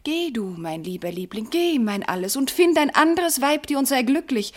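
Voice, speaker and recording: An actress playing a devoted, anguished noble wife in a classical radio drama, declaiming formal verse with fervour. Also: female voice, high voice, lively intonation, normal speed. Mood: tearful devotion. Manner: declamatory, fervent, formal diction. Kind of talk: classical German stage verse in a radio play.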